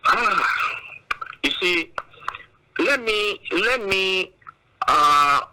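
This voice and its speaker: Slow, high-pitched, forceful Nigerian male commentator. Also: animated, low-quality recording. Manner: emphatic, oratorical, deliberate with repeated phrases. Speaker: studio guest analyst. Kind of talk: political commentary in an interview.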